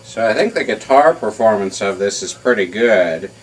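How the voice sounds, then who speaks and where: A man giving an informal hands-on technical demonstration in a halting, matter-of-fact way, as a repair hobbyist. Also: on the low side, animated, slightly rough, low-quality recording. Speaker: amplifier repair hobbyist demonstrating his work.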